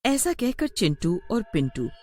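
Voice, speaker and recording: A storyteller narrating a scripted horror tale. The voice is animated, with wide swings in pitch. Music plays faintly behind it. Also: a little slow. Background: background music.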